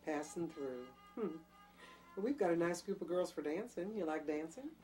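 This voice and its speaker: A woman's voice: on the low side, animated, normal speed, warm tone, soft background music, close to an everyday voice. A woman playing a worldly, breezy co-owner of a dance hall in a drama, talking in a casual, clipped, coaxing way. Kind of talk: dramatic dialogue in an audio play or table reading.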